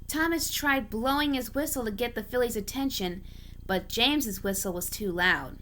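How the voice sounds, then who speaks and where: A woman's voice: fairly high pitch, normal speed, a bit bright, a little hiss or hum. A woman reading a story aloud in scripted narration, now and then stumbling over words. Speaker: amateur story reader.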